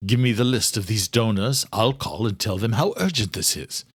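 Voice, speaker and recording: A man's voice: lowish voice, moderate pace, slightly rough, no room sound. A man playing an aging, proud scientist in audiobook dialogue, earnest and emphatic as he lectures on his obsession. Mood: urgent, lecturing.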